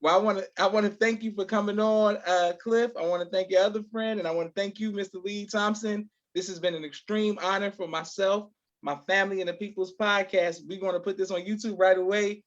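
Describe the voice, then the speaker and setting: A man's voice: high voice, fairly even intonation, a little fast. A man hosting a casual live interview, effusive and affirming, often repeating his words. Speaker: podcast host. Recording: dull, lo-fi online call audio.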